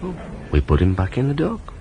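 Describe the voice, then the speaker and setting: An actor playing a patient, resigned police sergeant with a dry, deferential manner in a 1980s British radio drama. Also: male voice, medium pitch, huge pitch swings, fairly quick, muffled recording. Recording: noisy old radio broadcast tape.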